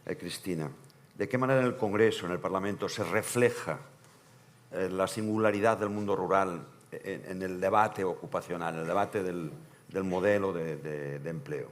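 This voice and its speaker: Deep-voiced male moderator, halting. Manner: halting questions, bantering. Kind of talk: moderating a panel debate.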